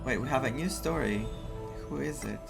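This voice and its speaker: A man's voice: mid-range voice, normal speed, vocal fry. A young man from Greece giving casual game playthrough commentary as a streamer, thinking aloud in a rambling way. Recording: game music behind the voice.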